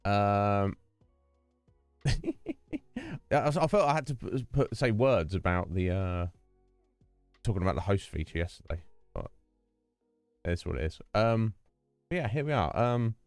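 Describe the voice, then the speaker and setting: A man with a lowish voice chats loosely to his stream audience. He rambles and restarts sentences, close to a dry desk mic. Soft music sits faintly underneath. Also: lively intonation, a little slow, long pauses, smooth, no room sound. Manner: rambling and chatty, trailing off and restarting. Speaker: livestream host. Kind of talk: casual livestream chat to viewers. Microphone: desk microphone with noise gate.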